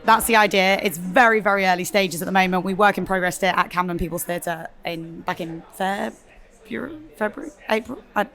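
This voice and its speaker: A young woman with a slightly husky voice, chatting casually about her theatre show with lots of fillers. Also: mid-range voice, fairly quick, a bit bright. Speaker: theatre performer. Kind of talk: casual interview chat. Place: festival venue interview.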